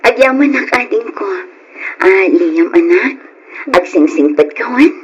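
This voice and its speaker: A woman's voice: medium pitch, lively intonation, a little slow, a little hiss or hum, natural voice. A woman playing a tender, sorrowful mother and elder sister in a radio drama, emotional in her delivery. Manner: emotional, pleading. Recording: dull radio drama audio, faint music.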